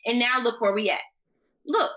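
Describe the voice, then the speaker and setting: American woman, bright forceful voice, casual recap co-host, emphatic and opinionated, muffled video-call sound. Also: a little high, normal speed. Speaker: podcast co-host. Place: small home room indoors. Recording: slightly dull video-call audio.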